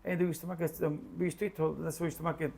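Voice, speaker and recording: Older Calabrian grandfather, heavy Italian accent, gravelly husky voice, halting chat over faint music. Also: on the high side, flat delivery, a little mellow. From Calabria, Italy. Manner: halting, repeats words, mixes in Italian. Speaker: home cook. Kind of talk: casual chat while cooking.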